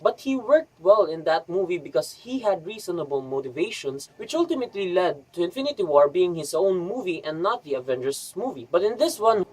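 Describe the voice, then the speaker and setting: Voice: medium pitch, very animated, brisk, hardly any pauses, a little mellow, breathy edge, low-quality recording. A vlogger giving an enthusiastic, scripted review in a chatty, emphatic way with rhetorical asides.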